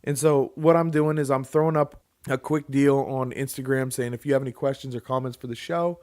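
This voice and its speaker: A man in his thirties co-hosting a relaxed home podcast. He rambles, circling back with fillers and restarts as he reads out listener questions. His voice sits at an ordinary middle pitch. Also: brisk. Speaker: podcast co-host. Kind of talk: casual chat.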